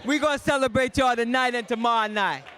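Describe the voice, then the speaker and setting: A woman emceeing a celebration for a large audience, loud and playful, calling out to the crowd over its noise. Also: a little high, fast talker. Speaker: host.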